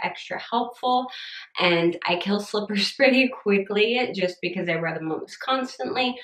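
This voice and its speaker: A young woman vlogging casually from home, chatty and upbeat, her voice a little scratchy and rough. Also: lowish voice, roomy sound. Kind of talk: casual haul vlog chat.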